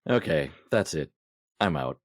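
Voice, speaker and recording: A man with a deep, husky voice that swings widely in pitch. He delivers scripted comedy lines in a wry, sarcastic way, as in an audio skit. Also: animated, unhurried, dry recording, studio quality. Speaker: podcast performer playing himself in a comedy skit. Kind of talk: comedic audio drama acting.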